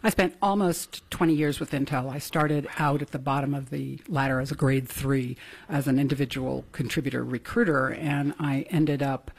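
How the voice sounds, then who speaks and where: A woman's voice: a bass voice, steady tone, normal speed, creaky, a little hiss or hum. A middle-aged woman from Venezuela, a political candidate, talking about her life with self-deprecating jokes. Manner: self-deprecating, joking, fluent. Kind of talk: interview guest.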